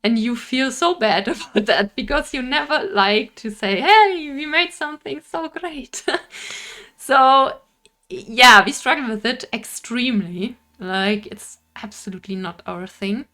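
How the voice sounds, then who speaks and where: A woman's voice: a little high, measured pace, pushed and bright. A young woman with a Bavarian German accent, an indie game developer talking casually to camera, laughing often and searching for words.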